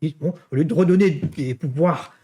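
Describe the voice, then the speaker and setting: A man's voice: on the high side, normal speed, warm tone. A man giving an explanatory lecture, didactic, often checking in and posing rhetorical questions as he walks through his material. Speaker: university lecturer. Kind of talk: explanatory finance lecture walking through slides.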